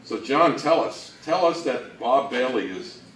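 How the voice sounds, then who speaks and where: A man presenting an informal history talk, speaking in a halting, thinking-aloud way, recorded away from the mic. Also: medium pitch, animated, distant mic. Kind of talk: informal lecture on old radio history. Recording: roomy, off-mic, faint noise.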